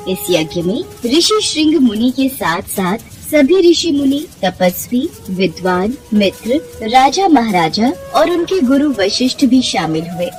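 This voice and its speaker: A woman's voice: fairly high pitch, a little mellow, low-quality recording. A woman narrating a scripted devotional story as a storyteller.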